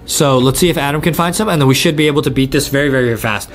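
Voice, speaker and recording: Excitable high-voiced young man. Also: very fast. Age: young adult. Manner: excitable, repetitive, addresses viewers. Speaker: gaming video host. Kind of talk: excited gameplay commentary. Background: faint music.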